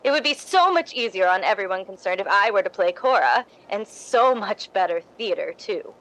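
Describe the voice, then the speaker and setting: Woman as young ambitious stage actress: falsely sweet schemer, insinuating, mid-pitched, radio-play delivery. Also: lively intonation, moderate pace, a bit bright, lightly acted, low-quality recording. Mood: coolly menacing. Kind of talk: recreated 1950s radio drama acting.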